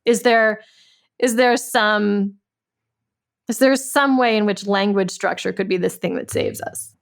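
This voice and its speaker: A woman interviewing in a thoughtful conversation, with searching, halting phrasing as she works toward her questions. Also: mid-range voice, steady tone, creaky. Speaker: playwright and interviewer.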